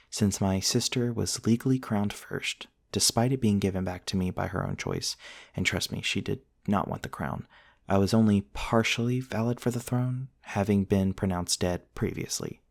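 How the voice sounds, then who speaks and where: A man playing a gentle, courteous centuries-old vampire prince in an intimate ASMR roleplay, soft-spoken and formal, with hesitant pauses. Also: fairly low pitch, creaky, no room sound, studio quality. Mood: wry, matter-of-fact. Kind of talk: intimate ASMR roleplay, storytelling to one listener.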